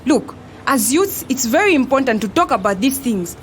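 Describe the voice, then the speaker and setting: Actress as a high-voiced, earnest young Zambian woman. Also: big pitch swings, normal speed, slight background noise. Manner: reasoning, earnest, emphatic. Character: earnest young woman mediating between arguing friends. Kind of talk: audio drama acting.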